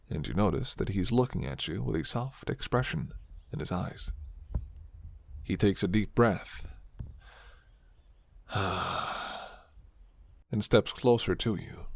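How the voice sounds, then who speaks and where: Low-voiced man narrating, slightly gravelly. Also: a little mellow. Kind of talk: scripted second-person ASMR story narration.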